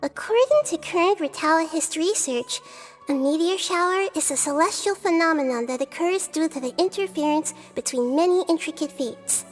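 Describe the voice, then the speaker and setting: A gentle little girl with an old soul talks in a very high voice. She is calm, knowing and articulate, as in fantasy game dialogue over faint music. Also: moderate pace. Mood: thoughtful. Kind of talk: video game character acting.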